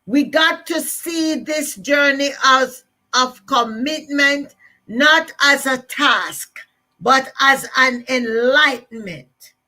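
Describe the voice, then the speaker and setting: A woman's voice: high-pitched, takes it slowly, bright, forceful tone. A woman with a Jamaican accent giving an emphatic, repetitive motivational talk to listeners. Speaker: motivational speaker.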